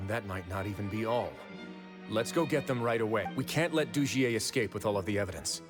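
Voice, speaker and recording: Actor as low-voiced, composed, commanding leader. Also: male voice, natural voice. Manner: measured, analytical, commanding. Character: composed, astute male prison administrator, authoritative leader. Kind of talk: scripted video game dialogue. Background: music.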